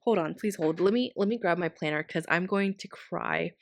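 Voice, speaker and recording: A young woman hosting her planner channel in a casual voiceover, slightly hoarse from losing her voice, chatty and rambling with many ums and likes, recorded in her bedroom. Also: fairly low pitch, normal speed, dry recording.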